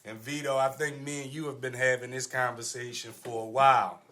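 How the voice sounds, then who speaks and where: Bright-voiced man hosting. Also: mid-range voice, little pitch movement, brisk. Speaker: podcast host. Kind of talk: casual panel discussion.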